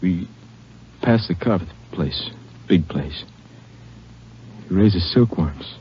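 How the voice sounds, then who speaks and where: An actor playing a wounded, grieving middle-aged country deputy in a 1950s radio drama, speaking in a weak, halting, folksy way. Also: male voice, low voice, lively intonation, slight background noise. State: injured, dazed. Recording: dull, muffled old radio recording.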